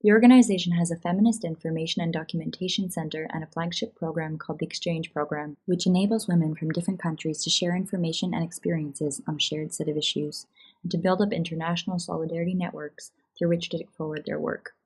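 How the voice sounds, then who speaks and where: A woman's voice: on the low side, steady tone, fast talker, darkish tone, slightly rough, rough recording. A woman reading a scripted personal account of her work as a researcher. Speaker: researcher in gender and development.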